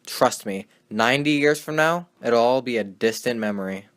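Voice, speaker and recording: A man's voice: medium pitch, normal speed, fairly bright tone, close to an everyday voice. A man playing a skeptical, wisecracking 1920s radio sports host, with folksy period slang and a sardonic manner. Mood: cocky.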